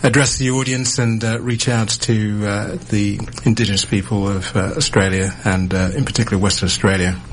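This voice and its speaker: A man from the UK with a British accent, a vascular surgeon speaking as a radio guest in a studio, measured and polite with frequent fillers. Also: on the low side, fairly even intonation, a little hiss or hum. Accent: British English. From born in Ethiopia, raised in the UK. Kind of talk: interview guest.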